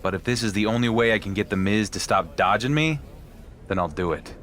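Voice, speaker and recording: A man's voice: lowish voice, a little fast, a bit bright, a little hiss or hum, natural voice. A man playing a wary, frustrated champion wrestler in a game cutscene, speaking in a plain, skeptical way. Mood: wary, resigned. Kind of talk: acted video game cutscene dialogue.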